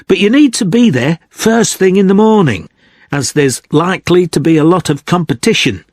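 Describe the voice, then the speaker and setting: A man playing an author who gives a measured, instructive informational talk in a scripted listening recording. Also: high voice, animated, dry recording, clean studio sound. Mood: measured, advising. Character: male author giving practical advice on wild mushrooms. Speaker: guest speaker on foraging.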